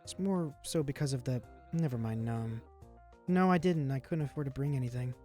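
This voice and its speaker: A man's voice: mid-range voice, moderate pace, dark tone, soft background music, voice pushed down, natural voice. A man voicing a meek, put-upon young male waiter, reading game lines hesitantly. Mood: tired. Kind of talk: voicing game dialogue during a playthrough.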